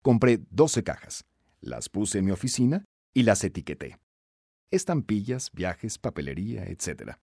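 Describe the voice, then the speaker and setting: A man narrating a scripted self-help audiobook in an emphatic, instructive, expressive way. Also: fairly low pitch, normal speed, gravelly, dry recording, studio quality.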